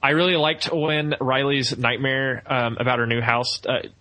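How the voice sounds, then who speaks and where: Man, bright crisp-edged tone, casual fan discussion, geeky, enthusiastic, podcast co-host. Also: medium pitch, little pitch movement, moderate pace. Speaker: podcast co-host reviewing films.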